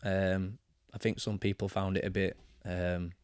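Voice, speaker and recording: A man in his thirties with a Barnsley accent, a guest in a casual interview, talking in a relaxed, rambling way with many ums. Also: deep voice, a little slow, clean tone, dry recording, clean studio sound. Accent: Barnsley, Yorkshire. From England. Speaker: guest, football manager. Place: cluttered home studio, indoors. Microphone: studio mic with headphones.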